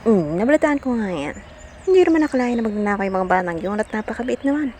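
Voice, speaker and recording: Radio drama: woman as nosy, judgmental neighbour, high chatty voice, faint outdoor ambience. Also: big pitch swings, fast, darkish tone, slight background noise. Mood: gossipy. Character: chatty, judgmental gossiping townswoman. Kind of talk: radio play acting in Filipino.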